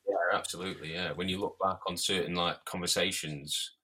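A man with a very low voice and a bright, forceful edge. He talks casually and animatedly as a podcast co-host. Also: fairly quick, slightly rough. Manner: animated, puts on silly voices when quoting people. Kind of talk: casual chat.

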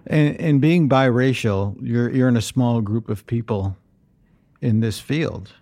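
A man's voice: mid-range voice, a little slow, mellow. A middle-aged man interviewing a singer, chatty and full of compliments, with frequent ums. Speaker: radio interviewer.

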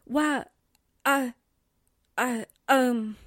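A woman's voice: high-pitched, drawn-out words, full character voice. A woman playing an earnest, pleading young girl in a 1940s-style radio drama, a little hesitant. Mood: flustered.

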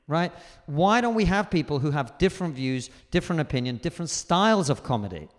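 A comedian guest speaking in a live stage conversation, forthright and emphatic and swearing freely. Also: male voice, fairly high pitch, expressive, a little fast, in a large hall. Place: live venue stage before an audience.

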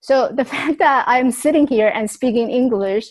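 Woman, raised in Taiwan, earnest reflective storytelling, higher voice, small grammar slips. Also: moderate pace. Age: adult. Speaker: speaker sharing her life story. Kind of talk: personal storytelling talk.

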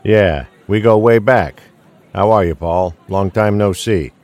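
A man playing a gruff, weary older police detective near retirement in an audio drama, blunt and dry. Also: on the low side, unhurried, darkish tone. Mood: casual, dry.

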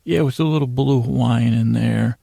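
Gravelly, mellow man rambling at bedtime. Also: mid-range voice, dry recording, clean studio sound. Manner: meandering, halting, repeats and trails off mid-thought. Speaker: sleep podcast host.

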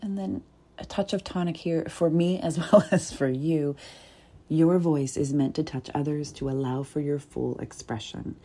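A woman with a deep, soft voice speaking in a reflective, deliberate way, with pauses and whispered asides. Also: steady tone. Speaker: body-work coach. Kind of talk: reflective spoken essay.

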